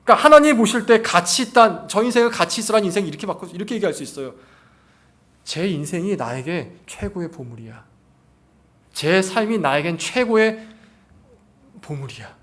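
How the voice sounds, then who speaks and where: A man's voice: sits high, expressive, normal speed, long pauses, fairly bright tone. A man preaching a sermon as a pastor, using rhetorical questions and repeating phrases for emphasis. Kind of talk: sermon to a congregation.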